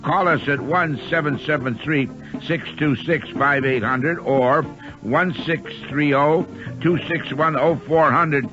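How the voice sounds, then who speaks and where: A man's voice: on the high side, slow. A man reading a scripted radio commercial as spokesman for a family business. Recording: dull radio ad with music bed.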